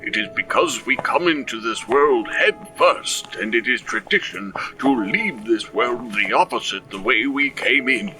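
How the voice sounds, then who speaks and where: A man playing a solemn village reverend in an audio drama, preaching and reciting scripture in a measured way. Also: medium pitch, expressive, far from the mic, over music, close to an everyday voice, rough recording. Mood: grave, instructive.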